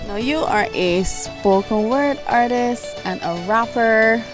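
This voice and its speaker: A woman interviewer asking questions in an unhurried, conversational way. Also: fairly high pitch. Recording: faint music behind.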